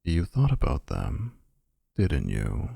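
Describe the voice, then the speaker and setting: A man's voice: rumbling low, wildly swooping pitch, slow pace, gravelly, dark tone, breathy edge, no echo, full character voice. A man voicing a snarky, possessive male vampire suitor in a boyfriend ASMR roleplay, mocking with dry sarcasm.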